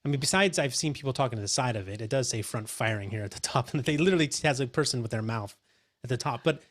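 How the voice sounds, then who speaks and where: Animated, opinionated man hosting. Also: medium pitch, quick pace. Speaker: host. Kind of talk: casual roundtable chat. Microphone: dynamic mic pointed up at his face.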